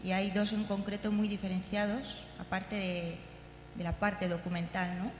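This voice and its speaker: A woman from gives an informal talk introducing audio clips, haltingly and repeating words as she explains. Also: mid-range voice, little pitch movement. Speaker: conference speaker. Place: large indoor congress hall. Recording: echoey hall, long reverb, faint noise.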